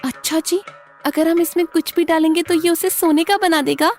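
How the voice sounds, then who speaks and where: A woman's voice: very high-pitched, fairly even intonation, normal speed, a little mellow, faint music behind, pitched above the performer's own voice. A woman voicing a dutiful, curious village wife in a cartoon story, with an eager, deferential manner. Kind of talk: Hindi cartoon story dialogue.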